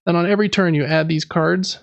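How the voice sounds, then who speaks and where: A man's voice: a little high, fairly even intonation, brisk, vocal fry, dry recording. A man hosting a casual board game podcast, chatting and explaining things in a conversational way. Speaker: podcast host.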